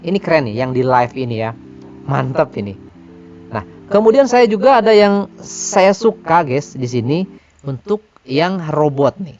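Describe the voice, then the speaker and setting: High-voiced man, muffled audio. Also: very animated, a little slow, a little mellow. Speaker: reviewer and tutorial host. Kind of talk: casual product demo. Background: faint music.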